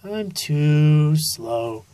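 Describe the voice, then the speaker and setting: A woman playing a young boy sloth in a storybook, easily discouraged, with drawn-out, plaintive words. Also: low-pitched, expressive, warm tone, heavily acted. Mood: dejected.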